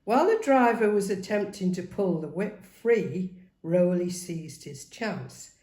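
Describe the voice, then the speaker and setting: A middle-aged woman narrates a dramatic story at an unhurried pace. Her voice is lowish and mellow, with expressive delivery, in an echoey room. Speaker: author reading their own book aloud. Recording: roomy, echoey home recording.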